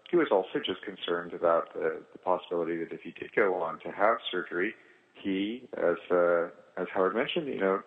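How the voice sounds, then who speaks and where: A man with a very low voice explains his surgical experience on a conference call. He talks in halting stretches, pausing as he searches for the next phrase. The sound is narrow and thin, as over a telephone line. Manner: halting, hesitant phrasing with pauses. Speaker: eye surgeon, guest speaker on a conference call.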